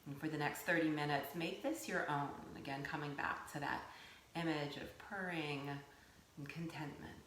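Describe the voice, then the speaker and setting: A woman teaching yoga nidra, giving gentle guided meditation instruction in a soothing, unhurried way with pauses. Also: low-pitched, fairly even intonation. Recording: roomy echo, faint background noise.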